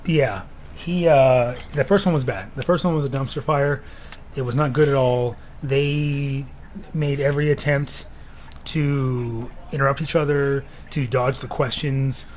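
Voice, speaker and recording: A man hosts a casual live show, his voice raspy and worn from recent throat surgery. He thinks out loud, rambling with plenty of fillers and restarts. A faint hiss sits under the recording. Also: medium pitch, unhurried. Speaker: podcast host. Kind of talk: casual livestream chat.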